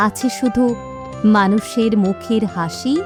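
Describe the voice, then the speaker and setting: A woman's voice: medium pitch, slow pace, over music. A woman narrator reading a scripted story for a cartoon.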